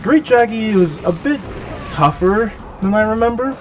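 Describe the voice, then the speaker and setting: A man with a cold and a nasal, slightly gravelly voice, giving chatty, self-deprecating voice-over commentary over gameplay. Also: high-pitched, animated, normal speed, distant mic, noisy background. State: has a cold. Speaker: gaming channel host.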